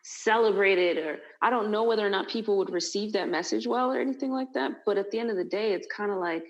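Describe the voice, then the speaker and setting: A woman's voice: mid-range voice, fast, dull audio. A woman co-hosting a casual discussion, talking in long rambling runs with many fillers. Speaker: podcast co-host.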